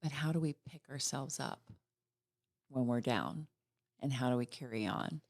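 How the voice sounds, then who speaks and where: Middle-aged woman, podcast guest, positive and sincere, light gravel in the voice. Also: very deep voice, moderate pace. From Virginia. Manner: warm, upbeat, heartfelt. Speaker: financial executive guest. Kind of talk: casual interview chat.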